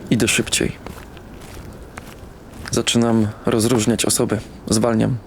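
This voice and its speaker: An actor plays a numb, troubled young man telling his own story in a radio play. His voice is low and full of gravelly fry, subdued and halting, in short first-person sentences. Ambient noise runs underneath. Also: little pitch movement. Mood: numb, uneasy. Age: young adult.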